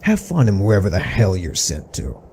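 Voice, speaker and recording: A man voicing a gruff, bullying pony carriage driver in a horror audio drama, brusque and contemptuous. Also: low voice, wildly swooping pitch, moderate pace, warm tone, full character voice. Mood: dismissive.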